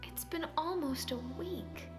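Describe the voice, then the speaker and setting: A woman's voice: very high-pitched, unhurried. A woman acting a caring, persistent character who coaxes and probes in naturalistic stage-play dialogue, sometimes hesitantly. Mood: worried, nudging. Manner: coaxing, probing, hesitant at times. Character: Sonia, caring, persistent woman nudging a withdrawn man. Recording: roomy sound with music behind.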